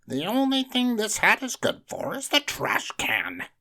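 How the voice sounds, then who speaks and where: A reader voicing a bumbling, greedy male stage magician in a storybook, loud and theatrical. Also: mid-range voice, huge pitch swings, unhurried, voice pushed up, exaggerated voice. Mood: blustering.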